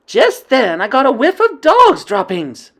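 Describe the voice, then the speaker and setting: Woman putting on a shrill, shrieking witch for a storybook, high-pitched and urgent. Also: huge pitch swings, a little slow, a bit bright, exaggerated voice. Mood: alarmed. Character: sharp-nosed shrieking female witch.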